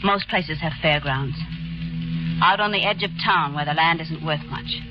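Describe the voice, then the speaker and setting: A woman's voice: low voice, normal speed, music in the background, natural voice. An actress playing a young woman who owns a carnival carousel, narrating and speaking in 1940s radio drama style. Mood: wistful. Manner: weary first-person narration. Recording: muffled 1940s radio broadcast.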